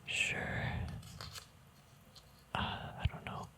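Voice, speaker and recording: A man whispering casual ASMR to viewers in a breathy, unvoiced voice, rambling and thinking aloud without hurry, very close to the mic. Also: drawn-out words, pushed and bright. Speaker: ASMR host. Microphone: mic very close to the mouth and nose.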